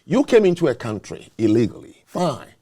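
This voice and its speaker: A man's voice: medium pitch, big pitch swings, moderate pace, warm tone, breathy edge. A man hosting a talk show, making his case in an emphatic, lecturing way. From not born in the US, naturalized citizen. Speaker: show host. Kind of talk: opinionated talk show discussion.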